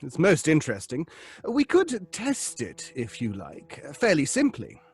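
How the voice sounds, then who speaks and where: High-voiced, donnish professor. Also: male voice, wildly swooping pitch, measured pace, husky, full character voice. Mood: pedantic, measured. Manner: precise, donnish, hesitant with ums. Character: earnest, pedantic male professor leading a seance. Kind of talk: old-fashioned radio drama acting.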